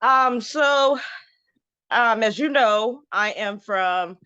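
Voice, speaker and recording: A woman's voice: fairly high pitch, very slow, pushed and bright, smooth, no echo. A woman in her thirties from Zimbabwe, frankly telling her own story as an interview guest, often laughing and saying "um" and "you know". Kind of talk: candid personal story, casual. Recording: dull lo-fi dry sound.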